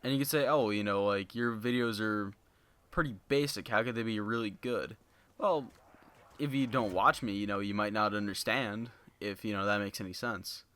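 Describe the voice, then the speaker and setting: A young man talking casually to his viewers in a flat, monotone voice, rambling with lots of fillers into a basic home microphone. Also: lowish voice, normal speed, no echo. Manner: rambling, filler-heavy, many "you know"s. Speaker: gaming YouTuber. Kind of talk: casual unscripted commentary to viewers. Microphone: basic low-end home mic.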